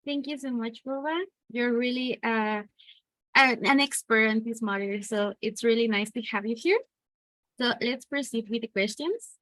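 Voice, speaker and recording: A woman's voice: on the high side, moderate pace, a bit bright, no echo, poor audio. A woman hosting and moderating a workshop, introducing it and putting questions to speakers.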